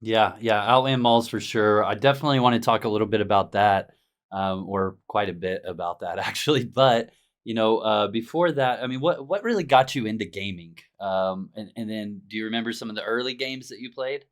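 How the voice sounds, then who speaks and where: A man's voice: on the low side, fairly quick. A man hosting a casual gaming podcast, easygoing and laughing often, with plenty of fillers as he asks questions. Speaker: podcast host.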